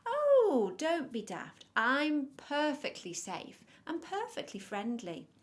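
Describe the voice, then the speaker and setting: A woman playing a sly, lively storybook wolf. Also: high-pitched, slow pace, voice pushed up, heavily acted. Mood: coaxing, sly. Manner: coaxing, overly reassuring. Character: sly male wolf, smooth-talking, falsely friendly predator. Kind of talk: children's storybook character voice.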